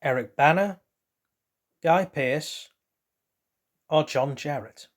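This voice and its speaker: A man's voice: a little high, slow. A man hosting a casual trivia quiz, reading out questions and then rambling loosely through hesitant asides about the answers. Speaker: quiz host.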